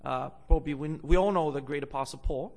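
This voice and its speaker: A young man from Bulgaria preaches as a guest in a church. His voice sits a little high and has a gravelly edge. He hesitates often with fillers, then swells louder over scripture. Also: quick pace. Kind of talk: sermon.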